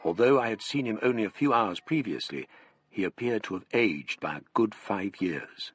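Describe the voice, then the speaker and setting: Low-voiced gentleman storyteller. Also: animated, husky, no room sound, faint music behind. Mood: suspenseful, grave. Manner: measured, formal, literary. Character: Older Monty, literary gentleman recalling an eerie tale. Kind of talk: scripted first-person radio drama narration.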